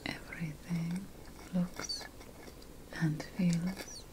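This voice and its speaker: Very slow whispering witch priestess, acted by a woman. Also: fairly low pitch, steady tone, noisy background. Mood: gentle, attentive. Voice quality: breathy, mostly whispered. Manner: slow, soothing, deliberate, repeating phrases. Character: calm, ceremonial ancient Mesopotamian priestess witch offering potions. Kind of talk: ASMR roleplay.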